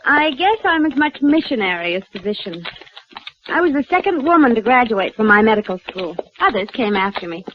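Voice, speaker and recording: A woman's voice: on the high side, sing-song, fairly quick. A woman playing a determined, dryly self-doubting doctor in a 1950s western radio drama. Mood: wry, candid. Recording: muffled, noisy vintage radio recording.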